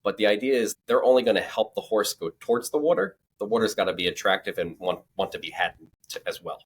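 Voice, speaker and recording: A man's voice: lowish voice, quick pace, husky. A man talking conversationally as an expert guest on a podcast, explaining things with assurance.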